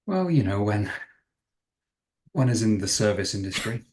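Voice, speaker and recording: Lowish-voiced man, polite tabletop player. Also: sing-song, moderate pace, darkish tone. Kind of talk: conversational in-character roleplay.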